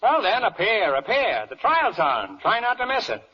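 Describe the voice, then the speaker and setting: An actor playing a pompous, dithering older judge in a 1940s comic radio play in rhyming verse, blustering and hemming and hawing. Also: male voice, sits high, wildly swooping pitch, moderate pace, slightly rough, heavily acted. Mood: pompous, fussy. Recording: dull old radio broadcast recording.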